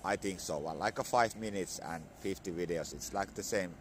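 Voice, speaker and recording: A man in his forties with a Finnish accent, a bit hoarse from a cold, talking in halting English with many fillers into a phone held at arm's length outdoors. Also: deep voice, a little hiss or hum. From Finland. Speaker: vlogger. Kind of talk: casual outdoor vlog talk. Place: outdoors on a sunny spring morning.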